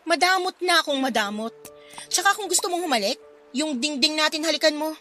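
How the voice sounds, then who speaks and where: A woman's voice: sits high, expressive, a little fast, pushed and bright, faint music behind. A woman playing a frustrated, ambitious but guilt-ridden wife in a Filipino radio drama, speaking emphatically and reproachfully. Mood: exasperated, scolding.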